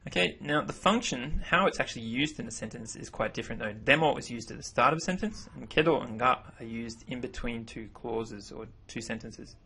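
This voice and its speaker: Husky-voiced man teaching a language lesson. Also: medium pitch, a little fast, hardly any pauses. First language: English. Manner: relaxed, self-correcting explanations. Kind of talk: narrated slideshow lesson. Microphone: voice-over.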